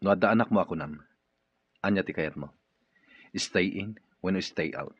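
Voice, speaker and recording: Husky, very low-voiced gruff boss. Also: male voice, normal speed, a bit bright, dry recording, muffled recording, heavily acted. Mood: suspicious, curt. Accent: Filipino. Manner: curt, questioning. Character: gruff, suspicious, irritable wealthy boss in a wheelchair. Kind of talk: Ilocano radio drama acting.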